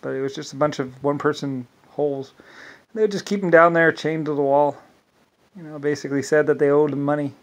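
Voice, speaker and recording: Brief: male vlogger, higher-pitched, rambling delivery full of restarts and asides. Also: fairly even intonation, fairly quick. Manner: meandering, restarts often, many "anyway" asides. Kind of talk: rambling solo monologue.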